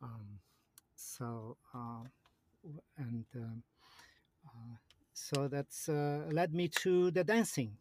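Halting Polish-accented man in his fifties. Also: medium pitch, fairly even intonation, a little mellow, slight background noise. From Poland. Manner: halting, many fillers and restarts. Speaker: guest. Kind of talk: casual interview.

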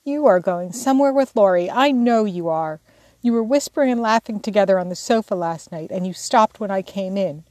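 A woman's voice: mid-range voice, lively intonation, brisk, darkish tone, no room sound, close to an everyday voice. A woman voicing a petulant, pleading little girl, the stubborn youngest sister in a storybook. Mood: accusing.

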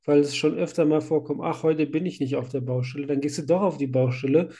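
A man's voice: a little high, steady tone, fast, dark tone. A man in his thirties with a cold, hosting a casual podcast and rambling along cheerfully with frequent laughs. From Germany. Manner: chatty, laughs often, rambling. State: has a cold. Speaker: podcast host, site manager.

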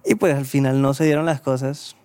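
A man's voice: medium pitch, fairly even intonation, brisk, dark tone, clear, resonant tone. A young man from Cartagena with a Colombian Caribbean coast accent, a band member guest telling stories in a jokey, self-mocking way. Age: young adult.

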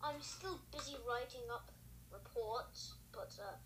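A woman's voice: high-pitched, measured pace, a bit bright, slight background noise, low-quality recording. A woman with an Australian accent chatting on a video call, rambling and hesitant with many fillers. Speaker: participant on a group video call. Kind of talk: casual chat.

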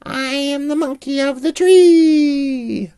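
A storyteller voicing a small, sneaky, gleeful monkey in a squeaky, high, teasing voice full of giggles. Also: slow, warm tone, no echo, studio quality.

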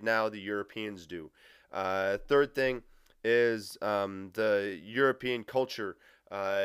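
A man giving a casual history lecture, full of uhs and restarts, his voice a bit nasal and raspy from allergies. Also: on the low side, a bit bright, no echo, clean studio sound. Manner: filler-heavy, casual, frequent uhs and restarts. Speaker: history teacher. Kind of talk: recorded lecture.

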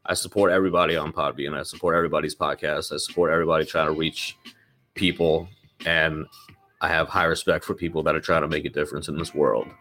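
A man's voice: extremely low pitch, level tone, fast, fairly bright tone, faint music behind. A man hosting his own podcast in loose, rambling casual talk, swearing freely and sounding slightly nasal from a lingering cold. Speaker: podcast host.

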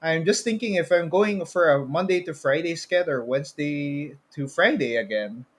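Casual, higher-pitched man hosting a podcast. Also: normal speed, slightly rough. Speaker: podcast host.

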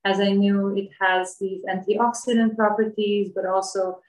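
A woman's voice: medium pitch, level tone, normal speed, darkish tone. Echoey, thoughtful woman from Bosnia. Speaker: interview guest. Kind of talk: reflective casual interview talk. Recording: echoey room sound.

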